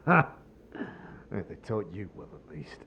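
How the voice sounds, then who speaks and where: Casting: male actor as broken, embittered fantasy man, slow delivery, swinging from outburst to mutter, slightly muffled sound. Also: low voice, sing-song, slight background noise, full character voice. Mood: scornful. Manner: raving, theatrical, swinging from bitter outburst to muttering. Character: despairing, bitter man raving, ready to die. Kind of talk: audio drama acting.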